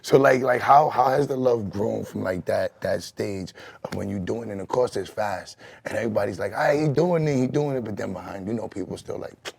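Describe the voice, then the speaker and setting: Hyped raspy low-voiced male host. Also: brisk. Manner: hyped, slangy, repeats "you know what I mean". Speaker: show host. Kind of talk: casual hip hop interview.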